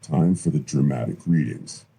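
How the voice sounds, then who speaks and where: Very dark, gravelly movie-trailer announcer. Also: male voice, extremely low pitch, voice pushed down, exaggerated voice. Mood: ominous, grandiose. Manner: portentous, dramatic drawn-out delivery. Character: grave, ominous male movie-trailer announcer, larger than life. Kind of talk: mock-epic movie trailer narration.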